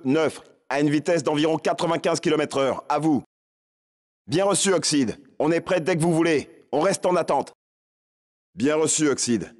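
A man's voice: fairly high pitch, natural voice. A man playing a cool, combat-ready lookout soldier in a video game, radioing in with clipped confidence. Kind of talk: military radio report, game voice acting.

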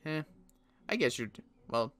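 A young man playing a weary survivor in a Minecraft roleplay, talking in halting bursts with sighs and restarts. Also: mid-range voice, sing-song, fairly bright tone. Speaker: roleplay player and channel host.

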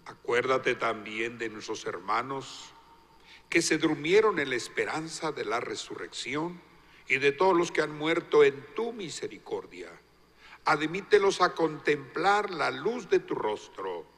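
A man, a priest slowly reciting intercessions at Mass. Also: medium pitch, very animated, a bit bright. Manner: slow, measured recitation. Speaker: concelebrating priest. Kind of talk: reciting liturgical prayers. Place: large church. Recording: long church reverb.

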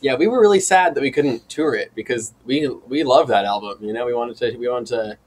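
A man's voice: medium pitch, fairly quick, slightly rough, poor audio. A young man, a band member, talking casually as a guest in an interview. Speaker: indie rock band member, podcast guest. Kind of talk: casual chat.

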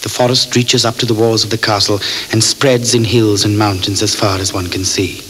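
A man's voice: level tone, moderate pace, background noise, close to an everyday voice. An actor playing an anxious, educated young Englishman held prisoner, speaking formally and precisely in a radio drama. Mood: uneasy, reflective. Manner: precise, formal, highly strung. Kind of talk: radio drama acting, first-person journal narration.

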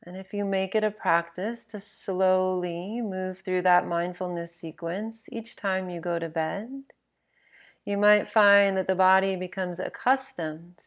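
A woman narrating a guided sleep meditation in a gentle, measured, instructional manner. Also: lowish voice, fairly even intonation.

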